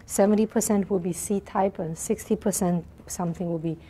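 A soft-spoken middle-aged woman lecturing on math in a large hall, often checking in with her class. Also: mid-range voice, fairly even intonation, a little fast, slightly rough. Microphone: lapel mic.

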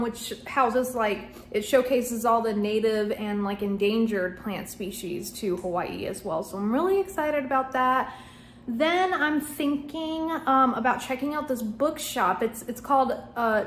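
A young adult woman talking casually and excitedly to camera as a vlogger, in informal, chatty speech. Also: fairly high pitch, slight background noise.

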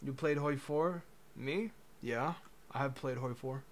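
A man's voice: mid-range voice, a little slow. A young man in his twenties, casually chatting with his chat on a livestream through a headset, laid-back and joking. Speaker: streamer.

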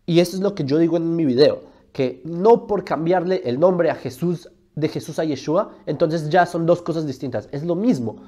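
A man's voice: a little high, normal speed, mellow. A man, arguing his point in a video monologue, emphatic and full of rhetorical questions, recorded on a lapel mic in a studio. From Colombia. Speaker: religion video host. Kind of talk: argumentative explainer monologue.

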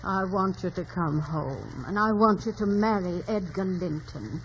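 Actress as firm, caring confidante, muffled radio sound. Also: female voice, fairly low pitch, measured pace, lightly acted. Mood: firm, consoling. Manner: earnest, admonishing. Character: caring, firm female confidante, steady and sensible. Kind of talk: 1940s radio drama acting. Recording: dull old radio recording, faint noise.

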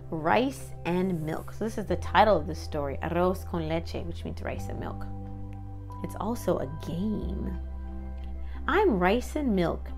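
A woman's voice: on the low side, creaky, darkish tone. A woman reading a children's picture book aloud expressively, with sing-song rhymes and informal asides. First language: English. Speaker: read-aloud host.

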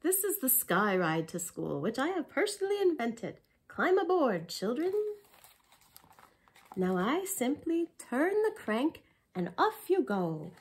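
A woman's voice: high-pitched, big pitch swings, unhurried, slightly rough, heavily acted. A woman voicing a confident, self-satisfied inventor from a children's picture book, proclaiming in a showy way. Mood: proud.

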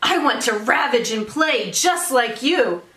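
A woman's voice: a little high, animated, unhurried, bright, forceful tone, pitched above the performer's own voice, heavily acted. A woman voicing an eager little storybook boy who is obsessed with becoming a zombie. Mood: excited. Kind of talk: storybook read-aloud. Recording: roomy echo, distant microphone.

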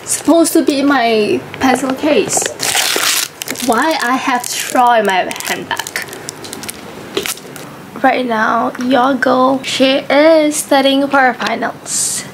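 Chatty woman vlogger, high voice. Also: slow pace, noisy background. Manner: chatty, mixes English and Malay, giggly. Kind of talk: casual vlog chat. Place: bedroom at home. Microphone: handheld camera close up.

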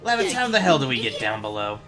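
A man's voice: mid-range voice, very animated, quick pace. A man chatting casually with friends while playing through a video game. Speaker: gamer playing and voicing a playthrough. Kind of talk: casual group banter. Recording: background game music.

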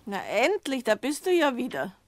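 A woman's voice: high-pitched, wildly swooping pitch, moderate pace. An actress playing a domineering, bedridden old mother in a radio play, complaining and giving curt orders. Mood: querulous, suspicious. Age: older. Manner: querulous, commanding, curt.

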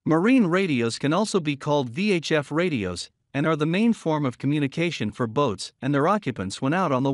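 A man's voice: a little high, dry recording, clean studio sound. A man reading a scripted voice-over, working through a list of numbered points. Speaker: narrator.

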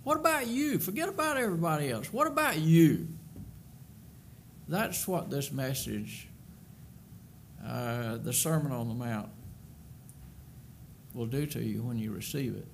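A man's voice: medium pitch, expressive, measured pace, gravelly, pauses often. An older man delivers an earnest sermon into a pulpit microphone in a church sanctuary. He presses his points with emphasis, doubling back on words as he goes, and a faint hum of room ambience sits behind him. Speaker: preacher.

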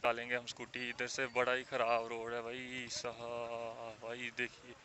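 A young man in his twenties chatting casually and excitedly while riding a scooter, recorded outdoors on a clip-on mic. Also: medium pitch, unhurried. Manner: chatty, excited, repeats "bhai" and "yaar". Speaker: motovlogger. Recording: wind and traffic noise, dull lo-fi sound.

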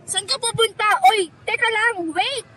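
A woman's voice: extremely high pitch, moderate pace, a bit bright, slight background noise. A woman playing a blunt, dramatic teenage schoolgirl in an amateur student radio drama, given to exclaiming. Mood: urgent.

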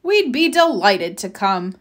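A woman's voice: mid-range voice, very animated, measured pace. A woman reading the part of a polite, courteous male owl schoolteacher in a children's storybook. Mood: delighted.

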